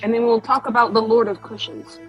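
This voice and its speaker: Female player, slightly high voice, playful banter. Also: level tone, a little fast, faint music behind, poor audio. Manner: playful, jokey. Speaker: tabletop game player. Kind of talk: casual chat while playing.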